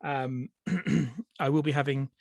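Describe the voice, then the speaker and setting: A man's voice: medium pitch, fairly even intonation, slow pace, gravelly, no room sound, clean studio sound. Sniffly man giving an online talk. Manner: hesitant, many fillers and restarts. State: sniffly with a cold. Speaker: storyteller. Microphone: video call.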